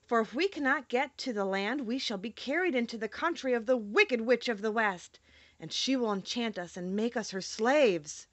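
A woman's voice: fairly high pitch, lively intonation, normal speed, fairly bright tone, dry recording. A woman voicing an anxious, tender-hearted tin man in a storybook, earnest and worried.

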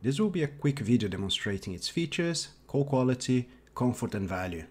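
A man in his thirties giving a casual tech product review with hesitant, halting phrasing. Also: mid-range voice, sing-song. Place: small home office, indoors.

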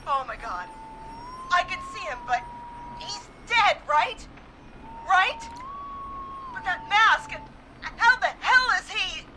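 A woman's voice: extremely high pitch, sing-song, slow, pauses often, background noise, exaggerated voice. A woman acting a resolute but frightened police dispatcher in a video game, urgent and hesitant under stress. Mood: panicked, shocked.

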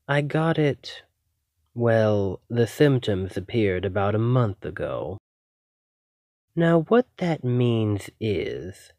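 A man playing an obsessive, hungry, menacing male vampire in an ASMR roleplay, speaking intimately and deliberately with dramatic pauses. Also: on the low side, darkish tone, dry recording, clean studio sound.

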